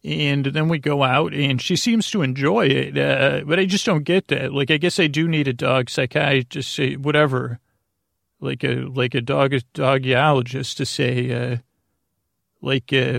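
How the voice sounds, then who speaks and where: Creaky-voiced man rambling casually. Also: mid-range voice, a bit bright, no room sound, clean studio sound. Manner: meandering and halting, trails off into tangents. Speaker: podcast host. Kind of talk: rambling, casual bedtime monologue.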